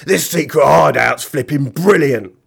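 A man puts on a fierce old storybook villain, loud and bossy. He barks in menacing slangy speech, pitched a bit above an everyday male voice. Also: normal speed, creaky. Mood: gleeful. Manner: barking, colloquial menacing speech.

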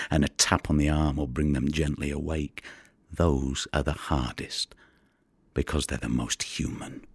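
Very deep, husky, weary fisherman. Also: dry recording, full character voice. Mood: sombre, haunted. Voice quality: gravelly, husky, breathy. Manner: hushed, deliberate, brooding storytelling. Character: haunted, weary Mediterranean fisherman who recovers drowned bodies. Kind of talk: dramatic audio monologue.

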